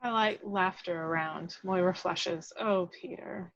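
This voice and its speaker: A woman's voice: lowish voice, a little slow, fairly bright tone. A woman reading dramatic action descriptions as scripted narration at a table read, on a lo-fi recording.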